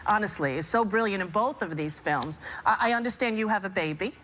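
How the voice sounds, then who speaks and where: A woman hosting a daytime talk show, interviewing a guest and praising her warmly. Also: medium pitch, brisk, a little hiss or hum. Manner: effusive, complimentary.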